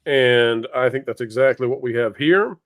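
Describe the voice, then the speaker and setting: A man's voice: medium pitch, moderate pace, no room sound. Blunt man commentating, dry sound. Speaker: panel guest, commentator. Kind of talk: opinionated conversational commentary.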